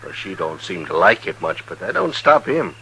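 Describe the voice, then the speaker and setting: An actor playing an excitable, folksy saloon man with urgent, rustic talk in a 1950s radio western. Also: deep voice, moderate pace, vocal fry, full character voice. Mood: confiding. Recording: noisy vintage 1950s radio recording.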